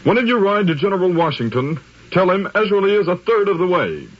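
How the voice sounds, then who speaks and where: An actor playing an anxious American officer of the Revolutionary War in a 1950s radio drama. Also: male voice, high voice, big pitch swings, fairly quick, soft background music. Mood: anxious, watchful. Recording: dull old radio recording.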